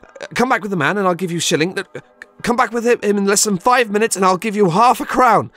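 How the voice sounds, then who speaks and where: An actor playing a giddy, jubilant old gentleman, a reformed miser, with an exclamatory, stammering manner in an audio drama. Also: high-pitched, fast, no room sound. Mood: giddy joy. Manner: exclamatory, stammering with excitement, chuckling. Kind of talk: theatrical audio drama acting.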